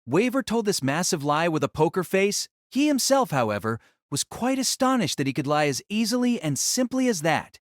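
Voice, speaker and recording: A man's voice: fairly high pitch, no echo, studio quality. A man narrating a scripted audio drama as a storyteller.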